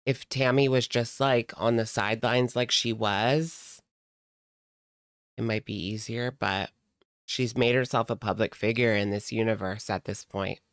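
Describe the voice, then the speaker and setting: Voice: mid-range voice, steady tone, measured pace, no echo, studio quality. A reaction channel host talking casually in a blunt, mocking and sardonic way while commenting on a video.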